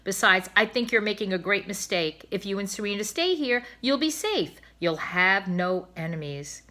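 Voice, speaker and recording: A woman's voice: mid-range voice, animated, moderate pace, bright, forceful tone. A woman voicing a kindly but firm male zoo bird keeper in a storybook, speaking emphatically and persuasively. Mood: firm, insistent.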